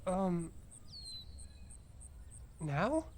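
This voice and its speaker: A woman's voice: fairly low pitch, very animated, drawn-out words, darkish tone, a little hiss or hum, exaggerated voice. A woman playing a self-doubting, hesitant young trainee in an audio drama. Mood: unsure.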